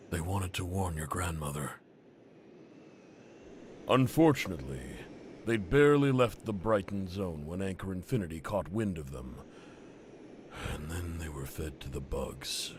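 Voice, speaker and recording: A man's voice: lowish voice, fairly bright tone, slight background noise. A man voicing a bitter, manipulative faction leader in an anime game, theatrical and full of pointed questions.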